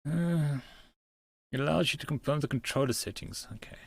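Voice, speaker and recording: A man's voice: fairly high pitch, normal speed, creaky, slightly rough, no echo. A man reading a visual novel aloud as he plays, with casual muttered asides. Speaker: narrator and game player.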